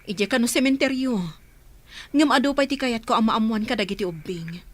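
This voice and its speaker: An actress playing a concerned, brisk and kind businesswoman in an Ilocano radio drama. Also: fairly high pitch, dry recording, lightly acted. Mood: businesslike. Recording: music under the voice.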